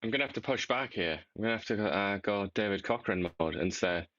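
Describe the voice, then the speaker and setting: A man's voice: fairly low pitch, lively intonation, fairly quick, fairly bright tone, no room sound. Wry man, dry dull sound. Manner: laughing, wry, rambling explainer. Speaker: co-host. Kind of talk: casual political talk show banter.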